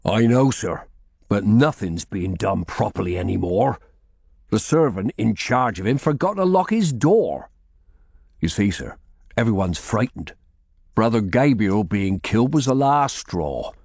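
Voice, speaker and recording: A man's voice: fairly low pitch, big pitch swings, unhurried, creaky, darkish tone, no echo, clean studio sound, full character voice. Man playing an anxious, deferential ageing servant. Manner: meek, deferential, wheedling.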